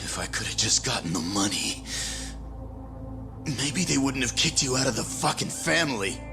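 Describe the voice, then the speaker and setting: An actor playing a desperate, foul-mouthed, loyal young yakuza underling in a dramatic video game cutscene. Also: male voice, mid-range voice, big pitch swings, normal speed, music in the background. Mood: bitter, defiant. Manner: swearing, stammering under pressure.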